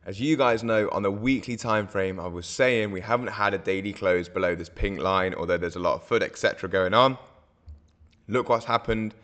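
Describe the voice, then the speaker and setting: A hoarse man, walking viewers through market charts in a casual, rambling way, full of fillers and self-corrections. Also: fairly low pitch, moderate pace, a bit bright. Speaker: crypto market analyst host.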